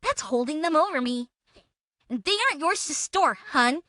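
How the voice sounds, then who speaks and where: Woman acting out a shrill, high-voiced, belligerent female bully. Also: expressive, normal speed, bright, forceful tone, no echo, studio quality. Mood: indignant. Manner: taunting, accusatory, theatrical. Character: belligerent, self-important woman harassing someone in a feud. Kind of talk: dramatic reading of a text exchange.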